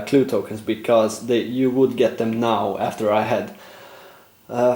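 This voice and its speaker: A man narrating a board game play-through, explaining rules and thinking aloud with frequent fillers, his voice going slightly hoarse. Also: mid-range voice, darkish tone, reverberant. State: losing his voice, clearing throat. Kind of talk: casual gameplay commentary.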